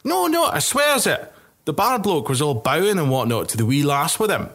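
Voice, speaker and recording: A man voicing an excitable drunk male soldier, loud and slurring. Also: on the high side, moderate pace, husky, pitched above the performer's own voice, exaggerated voice. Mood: excited drunk. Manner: shouting, squealing, slurred.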